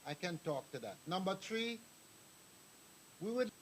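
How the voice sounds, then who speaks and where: A man's voice: sits high, very animated, unhurried, slight background noise, low-quality recording. An older man giving a rambling, anecdotal address to a community meeting, calling on people in the room. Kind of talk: public address to an audience. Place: meeting hall.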